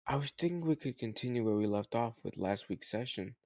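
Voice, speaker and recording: A man playing a teasing, slightly older home tutor who gently chides the listener in a conversational, intimate roleplay audio drama. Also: medium pitch, expressive, fairly quick, dry recording, lightly acted. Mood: playful, sly.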